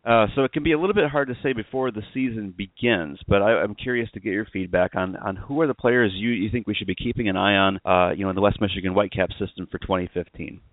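A man's voice: on the low side, quick pace, hardly any pauses, no room sound, narrow, tinny audio. A man hosting a podcast, chatting casually about sports in a jokey, talkative way. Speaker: podcast host.

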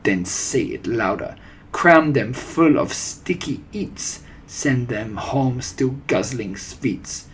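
An imperious old storybook witch, acted out by a man, declaiming with vicious relish. The voice is husky and commands in clipped, broken phrases, slow and deliberate. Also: medium pitch. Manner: broken foreign-sounding grammar, commanding, declamatory. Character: disgusting old Grand High Witch, gloating, imperious child-hater. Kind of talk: storybook character voice.

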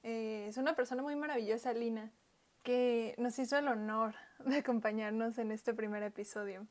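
A woman hosting a podcast, friendly and casual, filling pauses with little words. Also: fairly high pitch, fairly even intonation. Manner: friendly, uses fillers like "este" and "bueno". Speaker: podcast host.

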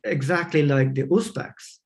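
Slow, halting man. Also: a little high. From Afghanistan. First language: not English. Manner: halting, many fillers and restarts. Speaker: interview guest. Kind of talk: remote interview answers.

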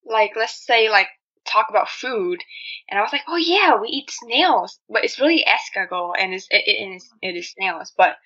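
Bright young woman with a Vietnamese accent. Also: medium pitch, lively intonation, moderate pace, slightly rough, dull audio. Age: young adult. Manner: candid, chatty, frequent "like" and "you know". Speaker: podcast guest, marketing student. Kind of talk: casual personal storytelling in an interview.

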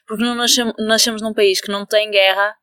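A woman's voice: medium pitch, fairly even intonation, fast talker, pushed and bright, clean tone. A young woman with a European Portuguese accent, talking earnestly in a casual podcast discussion and laying out arguments point by point. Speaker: podcast co-host.

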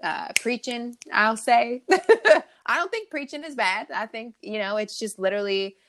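A woman's voice: fairly high pitch, normal speed, a bit bright. A young woman co-hosting a podcast, chatting casually, affirming and laughing easily. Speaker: podcast co-host. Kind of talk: casual chat.

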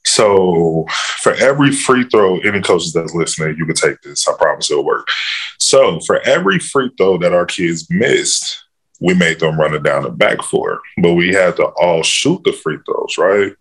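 A man with a very low, deep voice talks through his coaching in a relaxed, rambling way. He keeps dropping "you know" between his thoughts as he answers interview questions. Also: moderate pace, husky, rough recording. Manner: easygoing, fills with "you know". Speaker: first-year girls' basketball coach.

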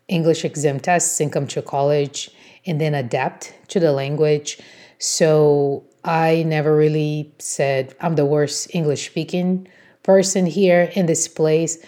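A woman's voice: deep voice, warm tone. A woman whose native language is Portuguese, giving an encouraging motivational talk as a host, in an emphatic, instructive manner. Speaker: podcast host, motivational coach.

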